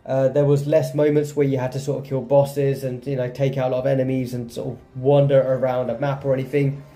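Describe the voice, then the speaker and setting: Very mellow-voiced man doing gameplay commentary. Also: medium pitch, flat delivery, fairly quick. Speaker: gaming video host.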